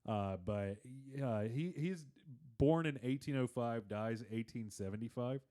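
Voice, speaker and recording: A man's voice: medium pitch, measured pace, warm tone. Casual male podcast co-host, explanatory and sweary. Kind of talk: casual chat, comedic banter.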